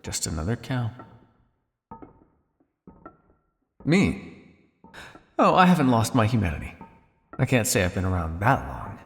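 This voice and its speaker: A man playing a cynical, suave vampire scientist in an ASMR roleplay, teasing and condescending with theatrical, drawling pauses. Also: fairly low pitch, big pitch swings, creaky, in a large hall, soft background music.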